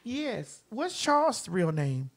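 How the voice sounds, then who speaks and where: A woman in her forties hosting a casual gossip talk show, with a playful, teasing and animated manner. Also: mid-range voice, slow. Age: 40s.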